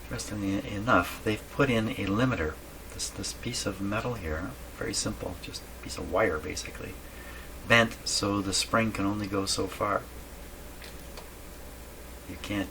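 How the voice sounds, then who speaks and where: Man, deep voice, casual repair narration, halting thinking-aloud delivery, noticeably noisy home workshop recording. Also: fairly even intonation. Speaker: host of a radio repair channel. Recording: clearly noisy room recording.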